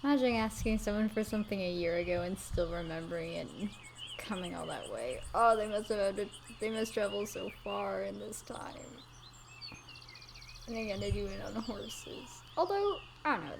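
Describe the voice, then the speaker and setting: A woman's voice: medium pitch, lively intonation, moderate pace, vocal fry, a little hiss or hum. Playful, giggly gamer woman. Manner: playful and self-mocking, giggly asides. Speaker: gaming video creator. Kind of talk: casual let's-play commentary.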